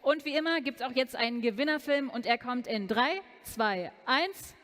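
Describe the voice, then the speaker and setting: A woman's voice: fairly high pitch, expressive, normal speed, a bit bright. A woman hosting a live song contest final, upbeat, switching between German and English. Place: large hall on stage. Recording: long hall reverb.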